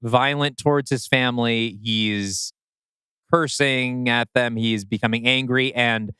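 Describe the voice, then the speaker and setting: A man co-hosting a podcast, discussing a story in a casual, analytical way with thoughtful, measured phrasing. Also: medium pitch, steady tone, sharp tone, clear, resonant tone, no echo, clean studio sound. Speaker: podcast co-host.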